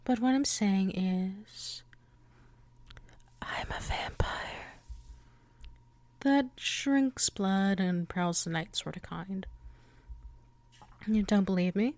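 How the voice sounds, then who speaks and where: Slow, coaxing vampire woman acted by a woman. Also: mid-range voice, a little mellow, no echo. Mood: coy, confiding, then playful, showing off. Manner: coaxing, chatty, teasingly polite. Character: female vampire lab worker, politely coy, possessive yandere predator. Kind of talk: ASMR roleplay, addressing the listener.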